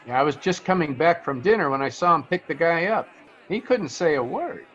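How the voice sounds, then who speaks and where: Shifty young American bellhop male actor, higher-pitched, slangy and hesitant hustler, vintage radio play, slightly dull sound. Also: lively intonation, fairly quick, fairly bright tone. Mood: sly, chummy. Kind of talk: old-time radio detective drama acting.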